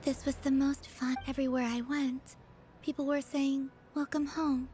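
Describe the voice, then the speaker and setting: A woman playing a wistful, tender otherworldly moon maiden in a video game, speaking softly and breathily with understatement. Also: high voice, little pitch movement, normal speed, slight background noise, natural voice. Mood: bittersweet.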